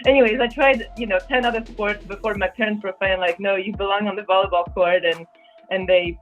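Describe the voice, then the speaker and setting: A woman's voice: mid-range voice, steady tone, fast talker, dull audio, faint music behind. A woman in her thirties from Poland, raised in France, speaking as an interview guest in casual conversation, with frequent fillers and restarts. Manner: frequent fillers and repeated words, earnest. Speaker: guest, business consultant and former volleyball captain.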